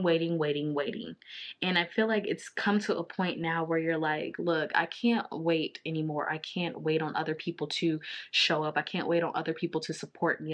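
A woman in her thirties, a little raspy from long talking, giving a casual tarot reading to the camera from a small home office. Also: low-pitched. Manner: intuitive, repetitive, drawn-out card naming. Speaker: tarot reader.

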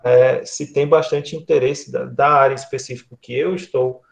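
Gravelly Northeastern Brazilian man, explaining. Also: medium pitch, fairly even intonation, moderate pace. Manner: explanatory, frequent tag questions seeking agreement. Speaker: guest speaker, lecturer. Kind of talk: conversational online talk. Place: small indoor room, video call. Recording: dull, lo-fi video call audio.

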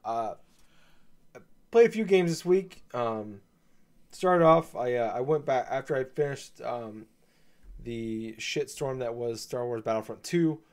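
A laid-back man chatting on a gaming podcast, his voice slightly gravelly as if he is coming down with a cold. He is mid-pitched and hesitant, full of ums and false starts. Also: measured pace, darkish tone. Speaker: podcast co-host. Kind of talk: casual gaming chat.

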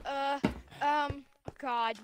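Young woman with a highish voice. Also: steady tone, slow pace. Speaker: role-play gamer. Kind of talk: improvised in-game role-play, casual. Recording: faint noise and clicks.

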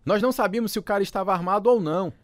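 Man acting a high-pitched, hot-headed teenage boy. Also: very fast, no room sound, clean studio sound, close to an everyday voice. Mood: defensive, pleading. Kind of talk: radio drama acting.

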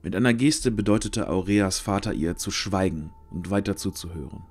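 A man's voice: low-pitched, moderate pace, husky, soft background music. A man narrating a scripted fantasy story for an audio drama.

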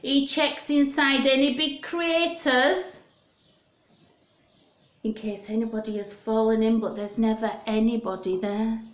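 A woman's voice: a little high, measured pace. A woman in her fifties hosting story time for young children, talking simply and in a sing-song way with questions. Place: small room at home. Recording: roomy, echoey home recording.

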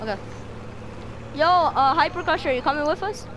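A woman's voice: sits high, moderate pace, crowd noise, background noise. A woman chatting casually as a player in an improvised game roleplay.

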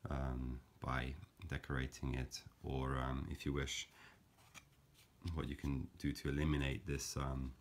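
A man calmly explaining a paper craft step by step, in a gentle, measured way with frequent fillers. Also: very deep voice, a little hiss or hum. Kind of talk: calm step-by-step craft tutorial.

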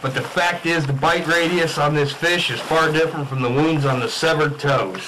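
Man playing a sceptical, higher-voiced fish scientist. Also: moderate pace, noisy background, natural voice. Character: insistent male fish scientist, methodical and sceptical. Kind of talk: radio drama spoof acting. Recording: music behind the voice.

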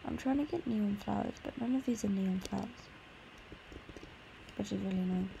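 Female gaming host, casual walkthrough narration, sore throat and tired, creaky voice over audible background noise. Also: medium pitch, moderate pace, warm tone, low-quality recording. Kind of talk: casual gameplay tour commentary.

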